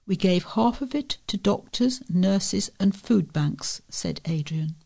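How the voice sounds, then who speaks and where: Creaky-voiced woman reading local news. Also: medium pitch, expressive, slow, a little mellow. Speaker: volunteer newspaper reader. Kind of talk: reading a local feature article aloud.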